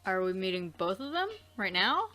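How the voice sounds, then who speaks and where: A woman's voice: mid-range voice, very animated, moderate pace, sharp tone, faint music behind. A woman co-hosting a let's play, commenting casually and reacting with blunt disbelief.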